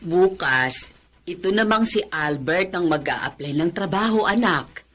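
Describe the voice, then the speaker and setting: An actress playing a supportive, gently advising middle-aged mother in a radio drama, with a husky voice. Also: fairly low pitch, lively intonation, unhurried.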